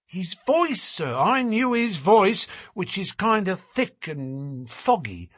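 A man's voice: high voice, sing-song, takes it slowly, a bit bright, breathy edge, no echo, clean studio sound, pitched above the performer's own voice, full character voice. A man voicing a stout working-class wife in an audiobook, garrulous, anxious and scolding, with colloquial, deferential talk. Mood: worried. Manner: chatty and colloquial, deferential with frequent "sir". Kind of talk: audiobook character voice.

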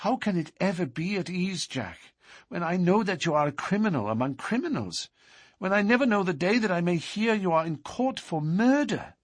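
A man's voice: sing-song, moderate pace, slightly rough, no room sound, studio quality, exaggerated voice. A man voicing a frightened, devoted young woman who pleads urgently in a raised voice, in an audiobook reading. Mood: pleading. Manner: high, tearful, urgent pleading.

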